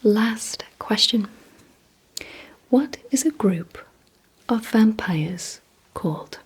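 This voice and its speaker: A woman whispering breathily in an ASMR vampire roleplay, speaking deliberately to the listener as a gently instructing host. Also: on the high side, animated. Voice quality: breathy, mostly unvoiced whisper.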